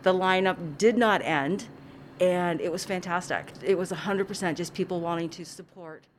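Lady cinema manager, lowish voice, chatty and earnest, lots of ums, faint lobby noise. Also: moderate pace. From Ontario, Canada. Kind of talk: casual interview. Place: theatre lobby.

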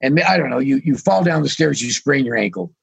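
A man co-hosting a radio talk show, losing his voice and asking halting questions full of fillers. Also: medium pitch, vocal fry, slightly rough.

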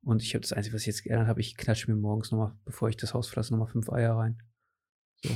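A man's voice: on the low side, completely flat delivery, quick pace, mellow, husky. A man in his late twenties chatting casually about his training goals, rambling with lots of fillers and restarts. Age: late 20s. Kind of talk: casual chat.

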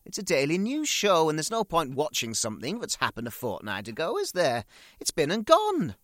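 Fussy grown man, self-pitying, chatty grumbler, darkly comic monologue, animated pitch, dry close sound. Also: moderate pace, no echo. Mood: exasperated. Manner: chatty, grumbling, rambling confessional asides. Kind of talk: dramatic monologue, audio drama.

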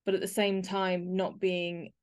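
A woman hosts a podcast. Her voice sits at a middle pitch, and she speaks as an interviewer and presenter. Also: little pitch movement, unhurried. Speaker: podcast host.

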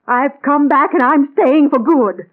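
Very high-pitched, imperious mother-in-law. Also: unhurried. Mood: haughty, accusing. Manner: haughty, stammering when alarmed. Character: imperious, suspicious, meddling older mother-in-law. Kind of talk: 1940s radio thriller acting. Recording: narrow, tinny 1940s radio recording.

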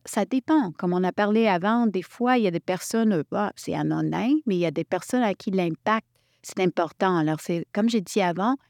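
A woman from Quebec leading a workshop, talking in an animated way and restarting her sentences often. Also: mid-range voice, no room sound, clean studio sound. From Quebec, Canada. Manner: animated, thinks aloud with many restarts. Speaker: workshop facilitator. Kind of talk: workshop talk.

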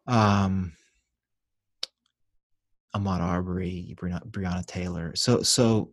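A man who grew up in Venezuela and Ecuador, a pastor and author speaking as a guest in a podcast interview in an earnest, measured, reflective way. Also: sits low, steady tone, no room sound.

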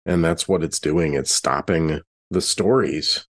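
Male tabletop player, extremely low voice, casual in-character talk, halting and repetitive delivery. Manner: halting, repeats words as he thinks aloud. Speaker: player in a tabletop role-playing game.

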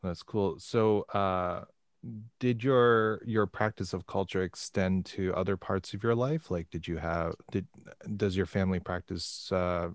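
A man's voice: low-pitched, measured pace, dry recording, clean studio sound. A man from Canada interviewing a guest, encouraging her and asking follow-up questions. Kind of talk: casual conversational interview.

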